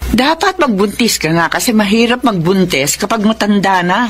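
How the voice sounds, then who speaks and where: A woman's voice: medium pitch, lively intonation, moderate pace, close to an everyday voice. An older mother-in-law played by a woman in a Filipino radio drama, pointed and fussy. Her voice swings widely as she insists and meddles. Faint noise sits under the recording. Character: nagging older mother-in-law pressing for a grandchild.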